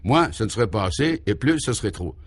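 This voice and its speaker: A man voicing a pompous male scientist who explains his absurd invention in a declamatory, gravely technical way for a radio comedy. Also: fairly low pitch, very animated, a little fast. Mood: gravely emphatic.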